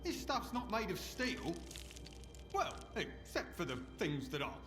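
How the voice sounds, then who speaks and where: A man's voice: high voice, huge pitch swings, a little slow, a bit bright, big-room sound, faint music behind, full character voice. An actor playing a chatty, boastful wasteland trader in a video game, with a gossipy salesman's patter.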